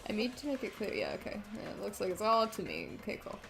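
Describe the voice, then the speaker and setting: Animated woman playing games. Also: mid-range voice, quick pace, creaky, loud music behind the voice, poor audio. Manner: thinking aloud, tentative. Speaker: gamer, let's play host. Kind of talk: casual game commentary.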